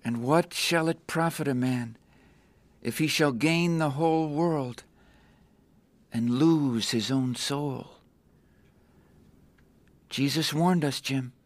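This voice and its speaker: A man's voice: a little high, slow, long pauses, dry recording. A male actor playing an earnest, newly saved young man in a radio drama, solemnly and fervently reciting scripture. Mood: fervent, gentle urging.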